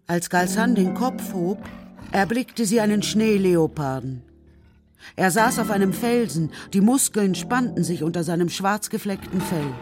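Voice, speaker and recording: A woman narrating a children's radio play in a measured, dramatic storytelling manner. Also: medium pitch, expressive, music in the background. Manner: measured, dramatic literary reading.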